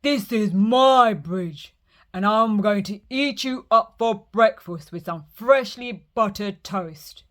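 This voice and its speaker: A woman voicing a hungry, blustering male troll who roars his lines slowly and loudly. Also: medium pitch, lightly acted. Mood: roaring. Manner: roaring, slow and loud.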